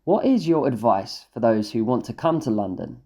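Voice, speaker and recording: A man with a warm, mellow voice and mid-range pitch hosts a podcast interview. He puts short, direct questions to his guest. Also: animated, normal speed.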